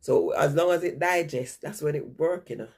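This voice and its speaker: Husky stuffy-nosed woman vlogger. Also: low voice, normal speed, a little mellow. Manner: rambling, chatty, repeats herself. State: stuffy nose from a cold. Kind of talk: casual chat to viewers.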